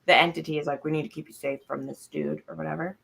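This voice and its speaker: A woman talks books in a casual group chat, her voice on the low side with a strong gravelly fry. She is informal and animated, voicing her reactions as she goes. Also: fast. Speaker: book club panelist.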